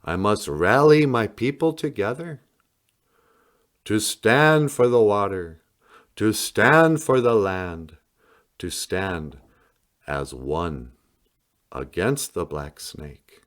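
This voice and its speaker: A man reading a picture book aloud in a solemn, dramatic storytelling style with deliberate pauses. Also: on the low side, lively intonation, a bit bright. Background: music.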